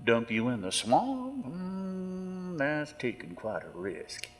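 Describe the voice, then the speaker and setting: An actor playing a sadistic killer sheriff with a theatrical, taunting drawl in a horror audio drama. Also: male voice, a little high, sing-song, slow pace. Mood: quiet, scheming. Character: sadistic cannibal killer sheriff, a man, smugly menacing. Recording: long hall reverb, music underneath.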